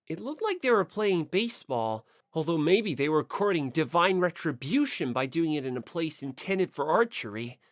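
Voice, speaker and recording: Audiobook narrator, expressive first-person storytelling, wide-ranging intonation, close dry recording. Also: medium pitch, moderate pace, few pauses, dry recording.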